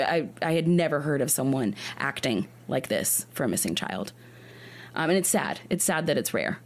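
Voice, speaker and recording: A woman hosting a true crime podcast, telling a case in an earnest way, often restarting her sentences. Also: sits low. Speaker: podcast host.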